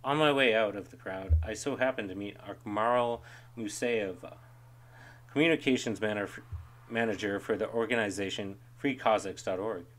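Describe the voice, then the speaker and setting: A man with a fairly low voice opens his interview series in a measured, earnest way. His delivery is steady and plainly read from a script. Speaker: host. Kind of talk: scripted introduction, then casual interview hosting.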